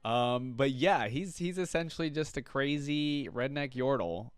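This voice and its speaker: A man's voice: medium pitch, lively intonation, measured pace, smooth, no room sound. A man giving casual, amused commentary as the host of a reaction video. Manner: amused, repeats lines he likes.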